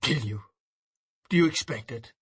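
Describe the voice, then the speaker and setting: A man's voice: medium pitch, expressive, normal speed, husky. A man reading an audiobook voices an enraged, grief-stricken husband in a hard, accusing tone. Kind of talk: audiobook reading of a classic novel.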